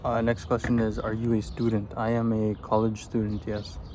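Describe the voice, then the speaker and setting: A man's voice: fairly low pitch, monotone, moderate pace. A man in his twenties from Afghanistan, chatting casually while he eats and answers get-to-know-me questions outdoors. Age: 20s. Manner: easygoing, answers questions in a loose, rambling way. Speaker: vlogger. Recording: outdoor recording with background noise and birds.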